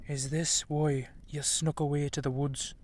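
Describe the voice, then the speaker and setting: A man's voice: on the high side, darkish tone. A man playing a stern, weary dragon king and father in an audio drama, with a grave, regal delivery. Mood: grave, reflective. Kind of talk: scripted audio roleplay drama.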